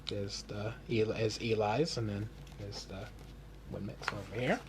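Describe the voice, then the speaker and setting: A man reading a storybook aloud for children in simple, deliberate phrases. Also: fairly low pitch, fairly even intonation, a little hiss or hum.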